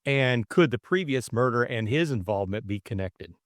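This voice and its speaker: A man's voice: medium pitch, expressive, normal speed, dry recording, studio quality. A middle-aged man co-hosting a casual book discussion, thinking aloud in a hesitant way. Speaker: audiobook co-host and narrator.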